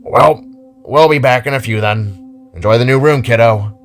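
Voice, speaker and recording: A man's voice: mid-range voice, normal speed, natural voice. Man playing an easygoing, kindly guardian, music behind. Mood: warm, reassuring.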